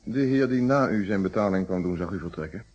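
An actor playing a calm, probing, wry male police inspector in a radio detective play, speaking Netherlands Dutch with polite, measured phrasing. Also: low voice, husky. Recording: dull, muffled radio drama recording.

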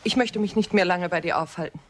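A woman's voice: lowish voice, quick pace, natural voice. An actress playing a bitter, proud, scornful woman with curt, cool delivery in a radio drama. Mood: cold reproach. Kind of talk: German radio drama acting.

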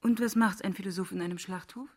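An actress as a sceptical professional woman in a satirical radio play. She speaks at a medium pitch, with indignant, incredulous questioning. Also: fast talker, warm tone, breathy edge, close to an everyday voice. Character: indignant, sceptical woman economist, new to a madhouse workplace.

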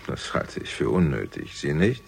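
Gravelly, very deep-voiced older father. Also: huge pitch swings, a little mellow, exaggerated voice. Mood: calm, probing. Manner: formal, measured, deliberate. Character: older father, composed, menacing guardian of a decaying house. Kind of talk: German radio crime drama acting.

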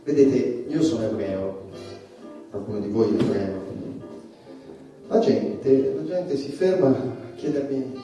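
Casting: male actor as provocative stage comedian, very dark muted tone, theatrical pauses and repetitions, distant miking with music behind. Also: mid-range voice, lively intonation. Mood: sardonic. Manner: dramatic pauses, addresses the audience as friends, repeats phrases. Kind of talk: theatrical stand-up comedy monologue in a stage play. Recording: echoey room, distant mic, music behind.

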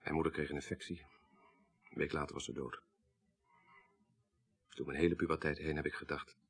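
A very low, rough-edged male voice with a Netherlands Dutch accent. He is a learned professor in a radio crime drama, grieving yet dryly ironic, explaining things in precise, lecturing phrases. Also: flat delivery, fairly quick, fairly bright tone, heavily acted. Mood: subdued, dry. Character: grieving, articulate male professor, child of a murdered minister.

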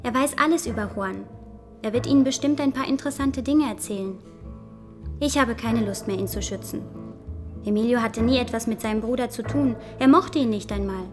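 A woman's voice: on the high side, fairly quick, over music, lightly acted. An actress playing a frank, resentful young woman informant in a German radio crime drama, direct and insistent. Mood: bitter, frank. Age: young adult.

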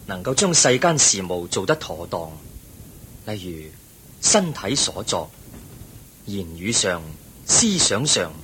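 Against a clear rumbling noise, a wise Buddha figure in a radio drama, speaking very slowly with a Hong Kong Cantonese accent. His teaching is patient and calm, yet the intonation rises and falls widely. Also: exaggerated voice. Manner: measured, instructive, explaining patiently. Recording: noisy recording with low rumble.

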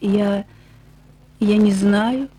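A woman's voice: on the high side, unhurried, a little mellow, lightly acted. A woman voicing a downcast, hesitant middle-aged shop woman in an audiobook, subdued in manner. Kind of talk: audiobook character dialogue.

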